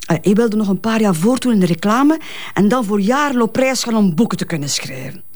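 A woman's voice: mid-range voice, animated, fairly quick, darkish tone, close to an everyday voice. An actress playing a grieving, protective mother in a Flemish crime drama, speaking hesitant, colloquial West Flemish with repeated words. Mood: wistful, fond.

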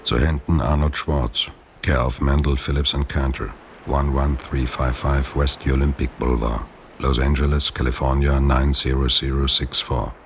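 Extremely deep-voiced literary narrator. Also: male voice, flat delivery, moderate pace, a little hiss or hum. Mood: matter-of-fact. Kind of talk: literary radio drama narration. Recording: music playing behind the voice.

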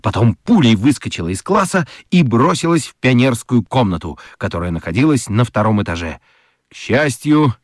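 A man narrating a children's radio story in an expressive, scripted storytelling style. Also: on the low side, no room sound, studio quality.

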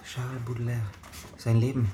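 An actor playing a bookish, dreamy young thief in a radio drama, speaking in a hushed, whispery way. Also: male voice, mid-range voice, completely flat delivery, moderate pace, lightly acted. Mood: hushed excitement. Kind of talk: German radio drama acting. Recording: noisy, roomy drama scene.

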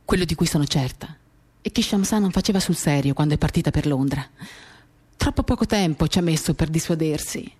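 A woman giving a measured, expressive dramatic reading of a first-person novel, pausing as she tells it. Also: sits low, breathy edge. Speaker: reader of a literary work. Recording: acoustic guitar music behind the voice. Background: acoustic guitar accompaniment.

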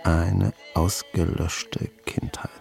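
An actor in a radio drama playing a weary, gruff, sardonic grandfather of seventy with a dry manner and a husky, gravelly voice. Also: sits low, huge pitch swings, very slow, music in the background, full character voice. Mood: brooding, reflective. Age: 70s. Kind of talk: radio drama acting and monologue.

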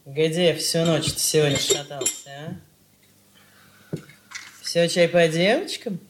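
Sly old tippling nanny, folksy n teasing, drawls super slowly, bit of hiss behind. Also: female voice, low voice, fairly even intonation. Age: older. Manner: folksy, drawling, with chuckles and exclamations. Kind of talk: Russian stage-style radio drama acting.

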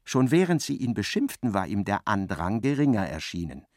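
A man narrating a literary radio drama as a wry, intense storyteller, expressive and precise, with a creaky voice. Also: fairly low pitch, normal speed, dry recording, studio quality. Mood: dry, dramatic.

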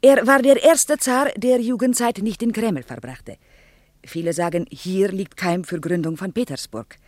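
An actress plays an earnest Soviet tour guide, a woman in her thirties. She speaks with a Russian accent, drops her articles and explains things in a didactic, slightly shy way. The radio drama sound is dry, and her pitch sits in the middle. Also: a little slow, darkish tone, no room sound, natural voice. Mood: earnest lecturing. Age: 30s.

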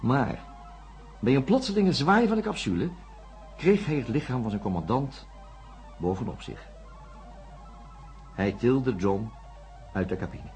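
Radio-drama narrator, male: measured, dramatic storyteller, lively intonation, music underneath. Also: medium pitch. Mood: measured, grave. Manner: deliberate storytelling with rhetorical pauses. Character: male narrator recounting an astronauts' disaster, measured and reflective.

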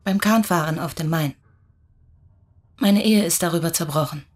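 An actress as a quiet, grieving woman. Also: fairly low pitch, normal speed, natural voice. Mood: grave. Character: reserved grieving woman, widowed of her son. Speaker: visitor seeking the uncle. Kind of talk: radio drama acting.

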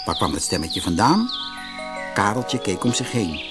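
A man narrating a fairy tale for children as an expressive storyteller. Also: fairly low pitch, normal speed, music in the background.

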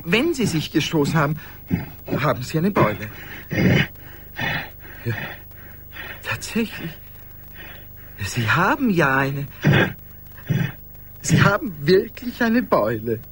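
Anxious, high-pitched, solicitous friend. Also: male voice, sing-song, slow, pauses often, fairly bright tone, a little hiss or hum, heavily acted. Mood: reasoning. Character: anxious, mild, solicitous man tending his changing friend. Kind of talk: 1980s German radio play acting.

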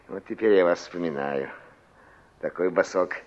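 A man's voice: sits low, unhurried. A man playing a middle-aged village barkeeper in a 1960s radio drama, chatty and courteous, musing and repeating words as he recalls. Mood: recollecting. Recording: dull, band-limited old radio recording.

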